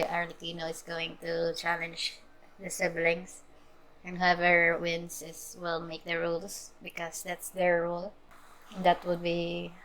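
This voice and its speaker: A woman's voice: lowish voice, a bit bright, low-quality recording. A woman game streamer reacting casually and live as she plays.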